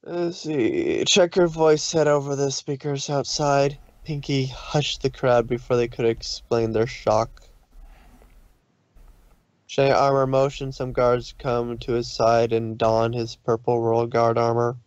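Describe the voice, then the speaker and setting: A man's voice: medium pitch, little pitch movement, unhurried, no echo, highs cut off. A man reading scripted story narration in a dramatic style.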